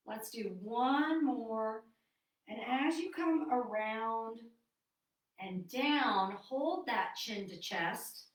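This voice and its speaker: A woman fitness instructor leading a seated online exercise class, chatty with fillers, then giving calm breathing cues. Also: fairly high pitch. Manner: chatty and apologetic, with many fillers. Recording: roomy echo, distant from mic.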